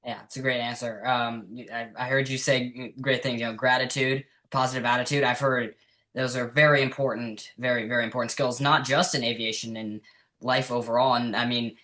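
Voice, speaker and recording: A man with a bright, forward voice at medium pitch hosts a casual interview. He is affirming, and his phrasing is hesitant, circling back over words. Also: little pitch movement, a little fast. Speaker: podcast host, interviewer.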